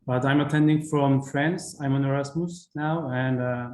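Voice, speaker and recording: A man's voice: medium pitch, steady tone, moderate pace, a little mellow. Young guy from turkey on a call, echoey room, bit of background noise. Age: 20s. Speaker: exchange student. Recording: roomy call audio with faint background.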